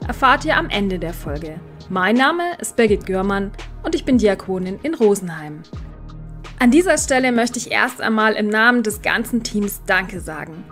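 A woman's voice: on the high side, fairly bright tone. A woman hosting a podcast, giving a scripted introduction and addressing her listeners. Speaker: podcast host, church deacon.

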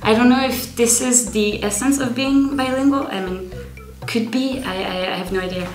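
A woman's voice: a little high, normal speed. A woman muses aloud, unsure of her answers. Her voice rings in a roomy space with faint music behind. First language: French. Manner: musing, unsure. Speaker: interviewee. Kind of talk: casual interview answers. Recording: echoey room, faint music.

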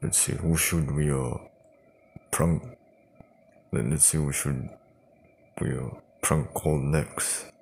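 Low-pitched man, gravelly fry, halting and repetitive, casual improvised chat, noisy recording. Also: fairly even intonation, a little mellow. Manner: halting, repeats words. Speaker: prank call video creator, host.

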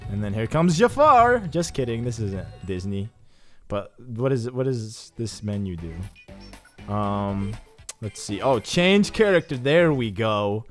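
A young man giving casual, excitable let's-play commentary over his gameplay, chatting with jokey asides. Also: mid-range voice. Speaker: gaming YouTuber.

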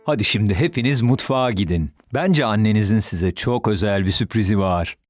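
A man in a children's cartoon dub voicing a patient, gentle father who explains things kindly. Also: lowish voice, fairly quick, soft background music, close to an everyday voice. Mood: kindly instructing. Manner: kindly explaining, mildly reproving.

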